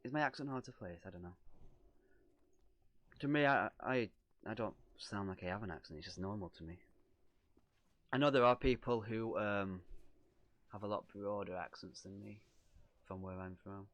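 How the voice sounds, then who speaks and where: A man's voice: fairly low pitch, normal speed, long pauses, fairly bright tone, dry recording, muffled recording. A young man with a Lancashire accent, a streamer chatting casually and reading viewer questions aloud, self-deprecating and rambling. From Lancashire, England. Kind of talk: casual livestream chat answering viewer questions.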